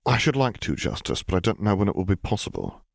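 A man's voice: on the low side, very animated, fast talker, vocal fry, dry recording, studio quality, heavily acted. Man playing a formal, reserved naval officer. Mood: reserved, resigned. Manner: formal, clipped, polite phrasing.

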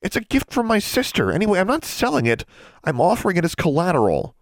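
A man's voice: high voice, huge pitch swings, quick pace, dry recording, voice pushed up, full character voice. A man doing a sullen teenage witch girl. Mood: angry. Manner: indignant, clipped.

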